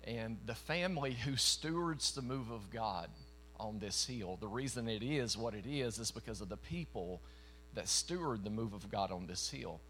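A man in his forties from East Tennessee with a Southern accent, preaching a sermon to a congregation in a folksy, emphatic way that swells into louder bursts. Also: lowish voice, expressive, normal speed, slight background noise, rough recording. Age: 40s. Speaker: traveling preacher. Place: church meeting in front of a congregation.

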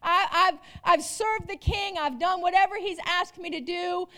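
A woman with a very high voice delivers a sermon to her congregation. She is emphatic, repeats her phrases and keeps asking the room rhetorical questions. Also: normal speed, pushed and bright. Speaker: preacher.

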